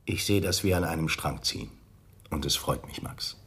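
A man acting in a radio play as an uneasy, guarded official, husky and hesitant. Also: a bass voice, normal speed, a bit bright, full character voice. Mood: guarded, polite. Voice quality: husky, breathy. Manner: hesitant, broken phrasing.

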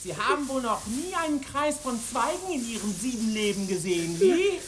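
An actor playing a menacing, hot-tempered village hunter in a radio drama, sneering and mock-polite. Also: male voice, very high voice, sing-song, normal speed, slight background noise. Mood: furious, taunting.

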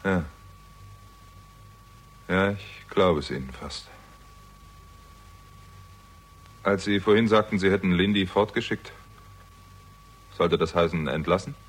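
An actor playing a jaded, hard-drinking theatrical agent with a conscience, sardonic and world-weary, in a 1960s German radio crime drama. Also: male voice, low voice, unhurried, pauses often, breathy edge, low-quality recording. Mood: bitter, sarcastic.

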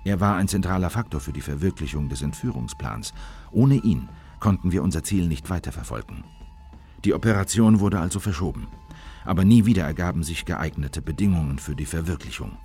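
Sombre memoir narrator, deep voice, deliberate, radio drama, soft music behind. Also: male voice, animated. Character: male memoir narrator, sombre conspirator recalling a failed plan.

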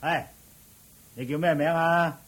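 A bossy husky Cantonese servant. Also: male voice, on the high side, fairly even intonation, takes it slowly, fairly bright tone, exaggerated voice. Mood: bossy, mocking. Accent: Hong Kong Cantonese. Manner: mocking, hustling, exclamatory. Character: bossy, scornful male servant of a lord. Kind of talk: Cantonese radio drama acting.

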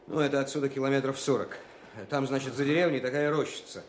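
Actor as a quick-talking army captain, roomy echo. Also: male voice, mid-range voice, fairly even intonation, lightly acted. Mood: dutiful reporting. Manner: plain, hurried military reporting. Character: deferential army captain reporting defensively to superior. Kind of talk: Russian wartime audio drama dialogue. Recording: roomy echo, faint background noise.

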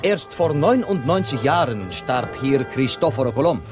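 Actor as a courteous Spanish guide, music behind. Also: male voice, mid-range voice, animated, normal speed, lightly acted. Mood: proudly explaining. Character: courteous, talkative Spanish gentleman guiding foreign visitors. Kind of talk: radio play acting.

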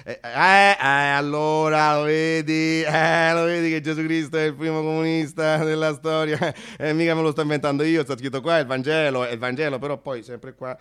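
A man performing a theatrical radio monologue, conversational and halting, repeating phrases and swinging from hushed to excited. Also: fairly high pitch, clear, resonant tone. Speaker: solo narrator-actor.

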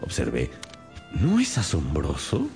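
An actor playing a shrewd, stern detective in a radio drama, speaking in a deliberate, theatrical, expository way. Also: male voice, extremely low pitch, huge pitch swings, creaky, over music, exaggerated voice. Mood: confident, demonstrative.

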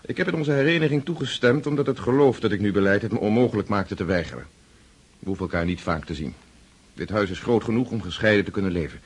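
A man's voice: on the low side, lively intonation, fast talker, breathy edge. A man acting in a radio drama as a bitter, brooding aristocratic husband and freemason, speaking formally and curtly. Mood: cold, bitter.

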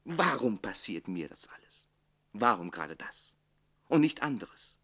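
Theatrical barber-servant with a very lively delivery. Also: male voice, fairly low pitch, unhurried, breathy edge, heavily acted. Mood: lamenting, ironic. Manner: declamatory, swinging from exuberant to hushed. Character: witty, theatrical, self-pitying barber-servant recounting his life. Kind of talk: theatrical radio drama monologue.

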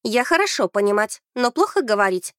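A woman's voice: fairly high pitch, sing-song, brisk, no room sound, clean studio sound. A woman voicing a feisty, stubborn young female werewolf trainee in an audiobook, with a blunt manner. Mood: halting, earnest. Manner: blunt, grumbling, speaking a foreign tongue brokenly.

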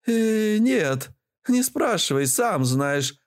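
A man's voice: high voice, very animated, drawn-out words, voice pushed up, exaggerated voice. A sly old granny from a supernatural storybook, acted out by a man, speaks well above a man's usual register. She is bossy and secretive, with a folksy, mocking way of scolding whoever she talks to. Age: older.

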